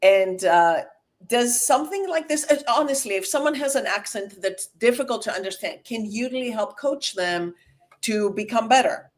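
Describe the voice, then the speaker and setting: A woman's voice: on the high side, animated, moderate pace. A woman hosting an interview as a communication coach, emphatic and enthusiastic.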